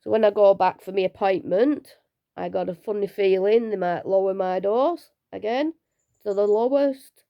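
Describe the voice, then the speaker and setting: A woman, unwell and low on energy, talking casually and rambling a little in a personal vlog from home. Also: moderate pace, lo-fi sound. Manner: chatty, rambling, self-deprecating with small laughs. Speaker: vlogger sharing her cancer treatment.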